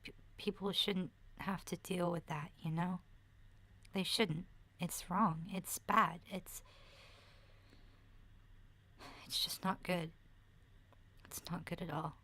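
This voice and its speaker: A woman voicing a chatty, candid young girl surviving a zombie apocalypse in a soft, breathy, half-whispered ASMR roleplay, talking in a hesitant, rambling way. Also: on the low side, fairly even intonation, slow, pauses often, full character voice. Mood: sad, earnest. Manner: hesitant, rambling, youthful casual phrasing.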